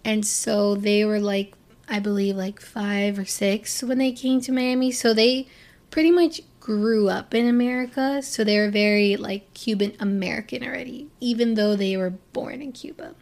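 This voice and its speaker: A young woman from Florida talks straight to her viewers in a loose, rambling way. Her sentences circle back and restart, full of "like" and "you know." Her voice sits at an ordinary middle pitch. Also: normal speed, darkish tone. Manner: chatty, rambling, many "like" fillers and restarts. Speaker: vlogger. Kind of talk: casual personal talk to camera.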